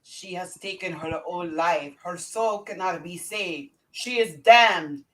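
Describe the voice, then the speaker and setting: A woman's voice: lowish voice, takes it slowly, rough recording. A woman voicing a stern, solemn priest who condemns in a declamatory way, in an amateur script reading. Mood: solemn, condemning. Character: stern priest pronouncing damnation. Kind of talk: amateur script read-through.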